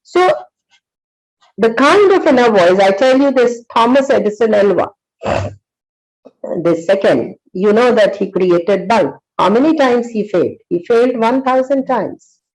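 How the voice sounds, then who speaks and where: A woman in her sixties with an Indian accent, giving a motivational talk as a psychologist. She tells stories earnestly, with many pauses and fillers. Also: fairly high pitch, expressive, darkish tone, poor audio.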